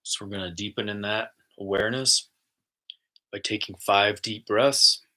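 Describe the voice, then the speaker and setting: A man talking casually as a spiritual host, chatty and easygoing, then slow and soft when he guides a relaxation exercise. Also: fairly low pitch, steady tone. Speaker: spiritual host and intuitive channeler.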